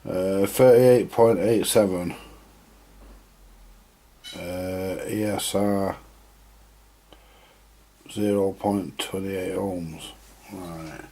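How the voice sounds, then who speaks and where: A man with a husky voice, giving informal, unscripted hands-on commentary as he mutters through a repair, now and then mildly sweary. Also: low voice, steady tone, drawn-out words, pauses often, mellow, slight background noise. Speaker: host of a vintage radio repair video.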